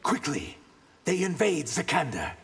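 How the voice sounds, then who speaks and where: Slow, swooping reptilian warrior. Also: male voice, on the high side, big pitch swings, a bit bright, slight background noise, full character voice. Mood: challenging. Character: loyal, dutiful reptilian shapeshifter in an empress's service. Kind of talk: video game character acting.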